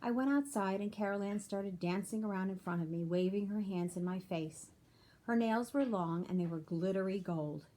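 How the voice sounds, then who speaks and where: A woman's voice: mid-range voice, normal speed, dark tone, poor audio. A woman reading a children's chapter book aloud like a teacher, with simple explanatory asides for young listeners.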